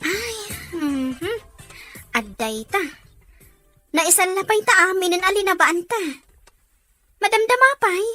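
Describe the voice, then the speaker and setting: A practical young working woman played by an actress talks in a bright, high voice. It is scripted radio drama dialogue between friends, over faint music. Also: animated, slow pace, pauses often, heavily acted. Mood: chatty.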